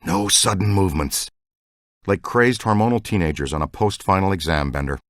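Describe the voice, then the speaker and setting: An actor playing a wisecracking ghost hunter in a video game, with a glib, joking manner. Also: male voice, deep voice, moderate pace, no echo, clean studio sound, lightly acted. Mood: wry.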